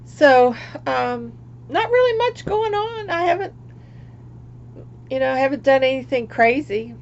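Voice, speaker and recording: An older woman from Florida, chatting casually on her craft livestream, rambling and laughing at herself, often trailing off quietly. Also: high-pitched, animated, measured pace, muffled recording. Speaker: craft vlogger.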